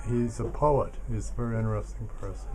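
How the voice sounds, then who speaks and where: A man's voice: lowish voice, a little fast, crowd noise. A man speaking in casual, brief asides as an attendee at a class.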